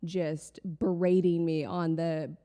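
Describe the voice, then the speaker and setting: A woman's voice: on the low side, flat delivery, takes it slowly, warm tone, long reverb tail. A young woman from the Chicago suburbs, a model and founder, chatting as an interview guest in a sarcastic, teasing way. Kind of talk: casual interview conversation.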